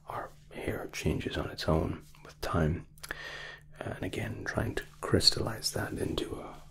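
Guy doing asmr roleplay, really slow whispery voice w some crackle, polite n careful. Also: low voice, creaky. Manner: hushed, hesitant, polite and formal. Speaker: ASMR roleplay performer, playing a royal sculptor. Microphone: close microphone.

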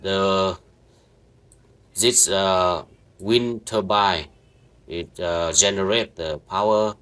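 A man with a low voice and guides viewers through a screen-recorded lesson. He talks slowly, piecing sentences together with frequent fillers and false starts. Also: steady tone, a bit bright, clear, resonant tone. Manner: halting, many fillers and restarts. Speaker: networking instructor. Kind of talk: screen-recorded software walkthrough.

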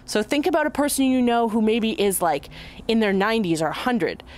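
A young woman outdoors in a garden, gently explaining things in simple words and wondering aloud with her listeners. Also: a little high, fairly quick. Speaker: children's time leader. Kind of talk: gentle teaching talk.

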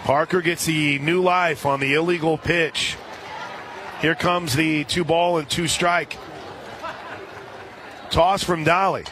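An American man doing live radio play-by-play commentary of a softball game. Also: on the high side, steady tone, takes it slowly, long pauses, background noise. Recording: outdoor stadium broadcast with crowd and music. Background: ballpark crowd and music.

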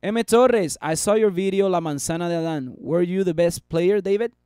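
A man's voice: sits high, moderate pace, warm tone, clear, resonant tone, no echo, clean studio sound. A man in his thirties streaming live stock chart commentary, chatty and upbeat as he reads viewer comments, his voice worn from talking. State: losing his voice. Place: small room at a desk.

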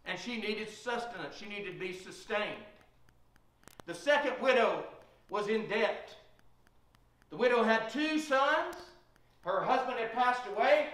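A man's voice: very high-pitched, a bit bright. A middle-aged man preaching a sermon at a pulpit in a small church, retelling Bible stories. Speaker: pastor. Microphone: room mic from a far camera. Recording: echoey church from far off.

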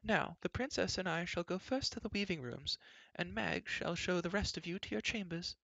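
A man plays a stout, bustling queen who scolds and fusses without pause. Her voice climbs high above his own, with a gravelly edge, recorded close and dry. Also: pushed and bright, dry recording, highs cut off, exaggerated voice. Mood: fussy scolding. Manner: scolding, brisk and bossy. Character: fussy bossy chattering stout queen, middle-aged woman.

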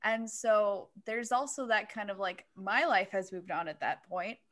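A woman's voice: mid-range voice, a little slow, a bit bright. A young woman talking casually as a panelist in a podcast discussion, close to the microphone. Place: home room, remote video call. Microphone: close microphone on stand.

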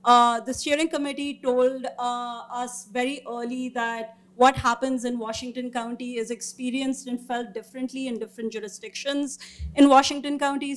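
Higher-voiced woman presenting research findings. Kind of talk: formal panel presentation read from notes, with informal asides. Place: indoor meeting room or auditorium, seated at a panel table. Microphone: gooseneck table microphone.

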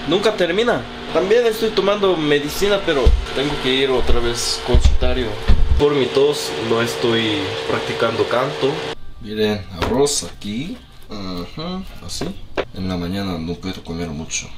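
Young man, Korean native, unhurried vlog chatter in simple Spanish, slight cough; echoey room with noise. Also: mid-range voice, expressive. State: lingering cough. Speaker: vlogger. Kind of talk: casual vlog narration while cooking. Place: small apartment room.

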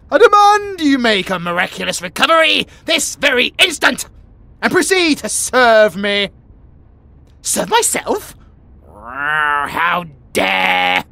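A man's voice: very high-pitched, bright, forceful tone, dry recording, exaggerated voice. A British man voicing a haughty, bratty but secretly affectionate young tiger boy in a comedic audio roleplay, with pompous, theatrical outbursts. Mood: bossy, outraged.

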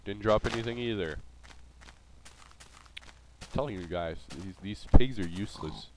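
Low nasal man with a cold. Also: normal speed, very noisy. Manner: rambling, many ums and uhs. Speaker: gameplay video host. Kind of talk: casual gameplay commentary.

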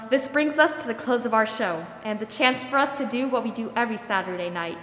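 Bright, perky 1940s radio hostess, acted by a woman. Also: a little high, lightly acted. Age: young adult. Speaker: radio show host. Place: theater stage, standing mic. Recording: echoey theater hall.

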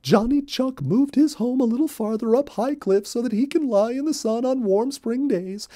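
A man's voice: very high-pitched, moderate pace, warm tone, pitched above the performer's own voice. A man voicing a gossipy female cottontail rabbit who chatters breathlessly, for a children's storybook. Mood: gossipy, excited.

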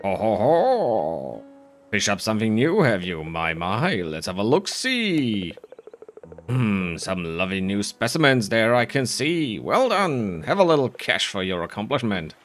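A man's voice: fairly low pitch, wildly swooping pitch, a little slow, voice pushed down. A man voicing a kindly, jovial shopkeeper in a video game, with genteel, old-fashioned phrasing. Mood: jovial, approving.

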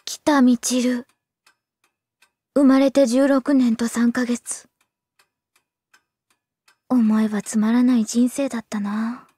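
A woman's voice: fairly high pitch, level tone, takes it slowly, long pauses, mellow, slightly rough, dry recording, studio quality. A woman playing a gloomy, self-loathing sixteen-year-old schoolgirl in an anime audio drama, polite and easily flustered. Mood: gloomy, brooding. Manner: flustered, pessimistic, polite speech.

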